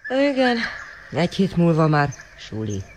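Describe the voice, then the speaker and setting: A woman's voice: lowish voice, wildly swooping pitch. A woman playing an earnest, devoted, somewhat hesitant schoolboy in a radio drama. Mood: uneasy. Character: earnest, devoted schoolboy troubled about his mother. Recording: noisy 1980 radio drama recording.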